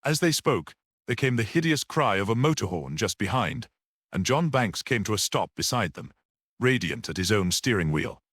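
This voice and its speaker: A man's voice: on the low side, very animated, moderate pace, a bit bright, no echo, clean studio sound. A man reading a classic detective story as scripted audiobook narration. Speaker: audiobook reader.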